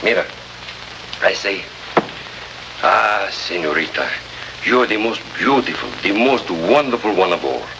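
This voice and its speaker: A man's voice: low-pitched, expressive, measured pace, pauses often. A genial jail trusty and ladies' man with a Latin American Spanish accent. His florid, polite speech rises and falls a lot. It sounds like an old, hissy radio drama. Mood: genial, romantic. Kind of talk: 1950s radio comedy-drama acting.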